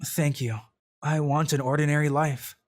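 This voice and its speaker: A man's voice: fairly high pitch, normal speed, natural voice. A man voicing a dry, secretly genius male student narrating his thoughts in a manga dub. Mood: deadpan. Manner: deadpan inner monologue.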